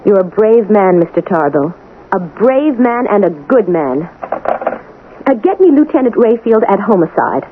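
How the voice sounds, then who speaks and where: An actress playing a busy, principled head doctor, clipped and formal, in a 1980s radio drama style. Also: female voice, mid-range voice, sing-song, normal speed, natural voice. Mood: grave, admiring. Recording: tinny, noisy old radio broadcast.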